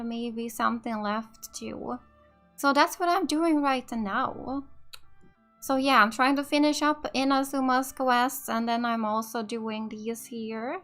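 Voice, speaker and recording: High-voiced Finnish woman, casual gamer. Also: a bit bright. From Finland. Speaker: gaming YouTuber. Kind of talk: casual let's play commentary. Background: game music.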